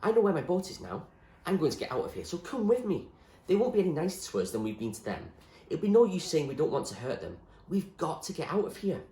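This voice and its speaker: Man voicing a desperate high-pitched storybook girl. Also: very animated, quick pace, dark tone, echoey room. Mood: urgent pleading. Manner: urgent, exasperated pleading. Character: desperate, frustrated girl urging a friend to flee. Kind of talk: acted storybook dialogue.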